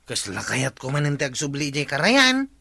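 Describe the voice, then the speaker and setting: An actor playing an easygoing village tricycle driver in an Ilocano radio comedy, with an Ilocano accent. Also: male voice, on the high side, huge pitch swings, moderate pace, gravelly, pushed and bright, heavily acted.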